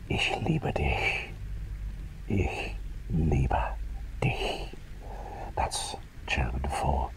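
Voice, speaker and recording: A man voicing an eccentric, whimsical plague doctor in a breathy ASMR whisper, playful, rambling and softly reassuring. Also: extremely low pitch, heavily acted, poor audio. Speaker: ASMR performer. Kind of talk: whispered ASMR roleplay, personal attention.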